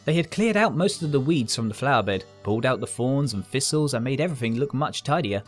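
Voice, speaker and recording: A man reading a scripted fan fiction story as its narrator, with soft guitar music behind him. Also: mid-range voice, animated, quick pace.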